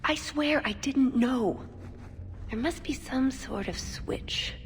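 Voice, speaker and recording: A woman's voice: high voice, slow. A woman playing a wry, determined young female elf in a video game, speaking in a dry, earnest way. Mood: serious, guarded. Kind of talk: video game dialogue acting.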